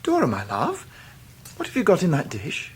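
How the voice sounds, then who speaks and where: An English actor playing a young Victorian husband, earnest, pleading and rather formal, in a radio drama. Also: male voice, fairly high pitch, huge pitch swings, normal speed, a little hiss or hum, poor audio. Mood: affectionate. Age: young adult. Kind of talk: 1990s radio drama acting.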